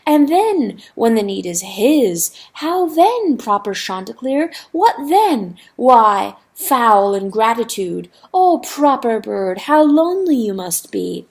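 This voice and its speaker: A woman voicing a talking river that speaks mildly, with calm, gently mocking wisdom. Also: a little high, heavily acted. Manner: soft, measured, gently mocking. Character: calm, wise talking river, mild and knowing. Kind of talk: audiobook character voice.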